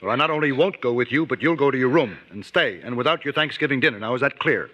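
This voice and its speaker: A man's voice: mid-range voice, animated, quick pace, pushed and bright, lo-fi sound. An actor playing an amused, mildly stern family father in an old-time radio drama, talking in a droll, patronizing way. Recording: noisy old radio recording.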